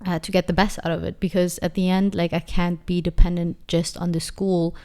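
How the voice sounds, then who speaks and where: A woman's voice: on the low side, level tone, brisk, dark tone. A woman in her twenties talking casually in an interview, reflective and full of fillers as she searches for words. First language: not English. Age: 20s. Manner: reflective, many fillers and restarts. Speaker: dancer and guest.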